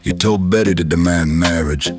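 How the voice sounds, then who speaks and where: A man's voice: low voice, normal speed, lightly acted. A man playing a brooding, womanizing private eye in a noir radio drama, narrating in cool slang. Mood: exasperated. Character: Jimmy, brooding, womanizing hard-boiled male noir detective. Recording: loud music under the voice. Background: loud hip hop music.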